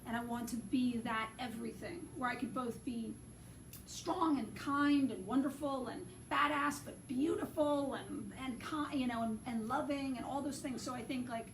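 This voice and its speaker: A woman's voice: high-pitched, moderate pace. A woman film director answers interview questions with earnest, effusive enthusiasm, stacking up words as she speaks. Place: large public building. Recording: echoey and distant, with noise.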